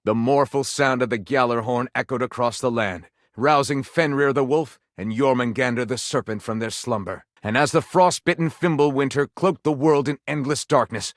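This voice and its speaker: A man's voice: medium pitch, normal speed, few pauses, dry recording, studio quality, lightly acted. A man playing a flamboyant, pompous narrator of pulpy detective romance in a game, delivering it theatrically and tongue-in-cheek. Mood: grandly dramatic.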